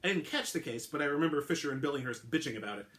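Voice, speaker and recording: A man's voice: a little high, animated, very fast, a bit bright, pitched above the performer's own voice. A man voicing a weary, blunt woman police detective with a dry, sarcastic, clipped manner.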